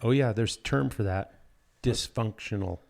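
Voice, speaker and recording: American guy with a lowish voice, chatting on a podcast, dry jokes, blunt slangy talk. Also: normal speed, gravelly, darkish tone. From United States. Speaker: podcast guest, dirt bike rider. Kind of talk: casual chat.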